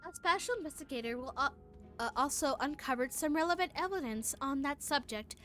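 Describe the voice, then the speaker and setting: A woman's voice: high voice, expressive, fairly quick, pushed and bright, faint music behind. A woman streamer reading game dialogue aloud during a live playthrough, often stumbling over words.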